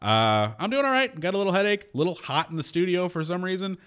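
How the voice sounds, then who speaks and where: A man hosting a radio show in casual, joking banter, his voice hoarse from a lingering cold. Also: high-pitched, quick pace. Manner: wry, joking, lively. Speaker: radio host. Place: radio studio.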